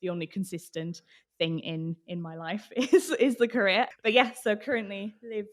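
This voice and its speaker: A woman's voice: mid-range voice, fairly even intonation, moderate pace. British-accented woman chatting in an interview. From Austria. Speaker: podcast guest, marketing professional. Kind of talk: casual interview chat.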